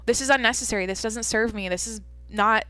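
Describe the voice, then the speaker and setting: A woman's voice: a little high, brisk, fairly bright tone, no room sound. Rambling, candid young woman, dry. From Washington State, USA. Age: 20s. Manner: rambling, filler-heavy, candid and profane. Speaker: podcast host. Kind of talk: casual solo Q&A podcast.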